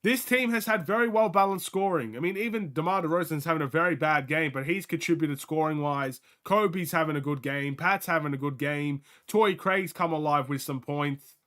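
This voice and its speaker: A young man from Australia with a light Australian accent, hosting a live game watchalong in casual, excitable play-by-play chat, often laughing. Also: on the high side, normal speed.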